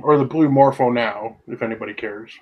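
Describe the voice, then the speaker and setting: A man co-hosting a casual podcast, excitable and chatty, calling everyone dude. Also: mid-range voice, a little fast, breathy edge, muffled recording. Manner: excitable, says "dude" a lot. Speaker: podcast co-host. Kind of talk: casual chat.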